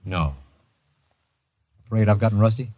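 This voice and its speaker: An actor playing an earnest, haunted young army lieutenant, speaking with confiding intensity in a 1940s radio drama style. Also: male voice, lowish voice, normal speed, no room sound, lightly acted. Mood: eager. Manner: intense, confiding first-person narration. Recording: dull vintage radio recording with music.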